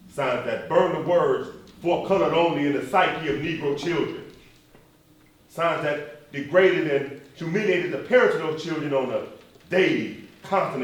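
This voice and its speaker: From far back in a heavily echoing hall, a male stage actor plays a dignified, earnest preacher and civil rights leader. His mid-pitched male voice moves in a measured, emphatic pulpit cadence, building through repeated phrases. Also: a little hiss or hum, lightly acted. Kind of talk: live stage play acting. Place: stage in a reverberant room, recorded from afar. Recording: echoey hall, distant microphone.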